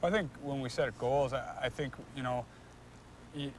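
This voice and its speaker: A young man, a hockey player, giving casual, halting interview answers with repeated words and frequent filler phrases. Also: medium pitch, a little hiss or hum, low-quality recording. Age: young adult.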